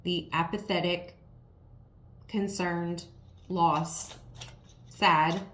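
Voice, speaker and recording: A woman with a raspy, worn voice giving a casual tarot reading, talking frankly and straight to the viewer. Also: fairly low pitch, steady tone, very slow, a bit bright, slight background noise. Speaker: tarot reader. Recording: roomy echo.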